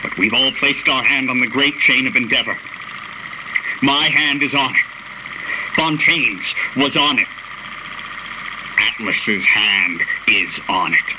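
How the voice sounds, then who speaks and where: A man playing a haughty, cultured tycoon who delivers a pompous, deliberate radio drama monologue. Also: on the low side, background noise. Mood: impassioned.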